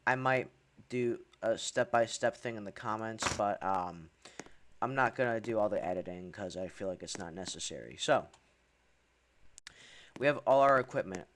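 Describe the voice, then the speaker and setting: A man's voice: lowish voice, animated, moderate pace, a bit bright, slight background noise. A young man from Hungary giving a casual game tutorial voice-over, chatty, with wry asides and frequent ums. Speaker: gaming tutorial creator.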